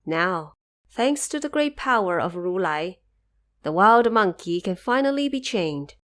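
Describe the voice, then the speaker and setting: A woman's voice: medium pitch, lively intonation, a little slow, a bit bright. A woman voicing a regal, grateful queen goddess in an audio drama, with a formal, gracious manner. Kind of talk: audio drama character acting.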